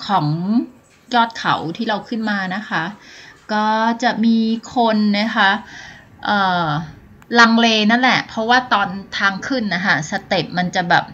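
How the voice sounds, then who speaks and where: A woman's voice: medium pitch, a little slow, sharp tone, dull audio. A woman, chatting politely as she vlogs a hike outdoors, filming on a hand-held phone. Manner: chatty, polite, many filler sounds. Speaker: vlogger. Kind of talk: casual travel vlog narration. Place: outdoors on a mountain trail. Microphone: phone camera held at arm's length.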